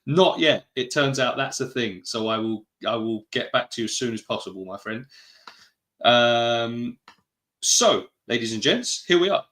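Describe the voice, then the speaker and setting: A man's voice: medium pitch, animated, unhurried, a bit bright. British male host, casual live chat, friendly rambling asides. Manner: chatty, greets viewers, rambling asides. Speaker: podcast host.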